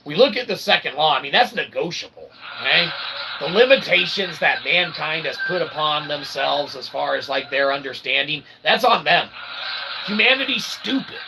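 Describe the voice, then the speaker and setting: A man's voice: a little high, animated, normal speed, gravelly, noisy background. A man delivering a rambling philosophical stream monologue, emphatic and wordy, with convoluted academic phrasing and folksy asides. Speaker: independent channel streamer.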